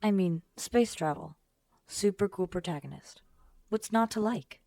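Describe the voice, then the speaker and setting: A woman's voice: medium pitch, a little slow, mellow, no room sound, lightly acted. A woman playing a wry, caring young superheroine in an audio drama. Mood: amused.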